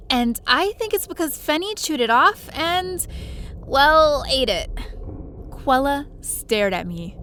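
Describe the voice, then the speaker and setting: A woman's voice: high-pitched, fairly bright tone, slight background noise, exaggerated voice. A woman playing a curious, sheepish young adventurer who narrates her own story in an audio drama. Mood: tense. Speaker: lead character and narrator. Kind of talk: first-person scripted story narration with dialogue.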